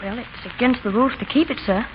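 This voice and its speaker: A very noisy, muffled 1930s radio recording of a woman acting a schoolboy. The high young voice is nervous and halting, deferential and polite to his elders. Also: natural voice.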